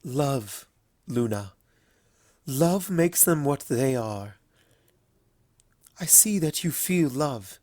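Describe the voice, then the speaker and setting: Man voicing a gentle, unhurried, knowing pony princess. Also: medium pitch, dry recording, clean studio sound. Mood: tender. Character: wise, fond, teasing elder pony princess, female.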